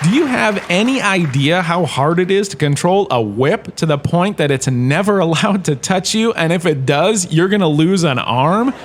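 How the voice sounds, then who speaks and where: Man playing a vain, animated professor, higher-pitched. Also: normal speed, hardly any pauses, crowd noise, background noise. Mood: excitable, boastful. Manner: self-satisfied, chatty, theatrical asides. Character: vain, washed-up holodrama star lecturing as a professor. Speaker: lecturer. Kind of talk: comic in-universe audio drama lecture.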